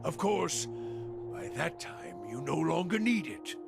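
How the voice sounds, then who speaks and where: An actor playing a bitter, fanatical older fire priest in a fantasy game, gravelly and scornful, preaching in slow pronouncements. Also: male voice, a little high, big pitch swings, music in the background, full character voice.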